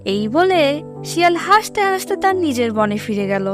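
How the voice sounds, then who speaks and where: A woman's voice: high voice, sing-song, moderate pace. A woman narrating a scripted fable for children as a storyteller. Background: music.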